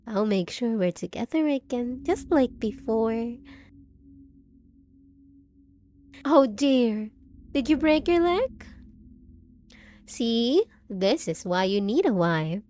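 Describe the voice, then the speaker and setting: A woman plays an undead bride with a higher-pitched voice and slow, deliberate delivery, set over noticeable background noise. She is possessive and unhinged, cooing pet names and mock pity while threatening her lover. It is performed as a scripted roleplay audio drama. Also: lively intonation, mellow. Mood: possessive, gloating.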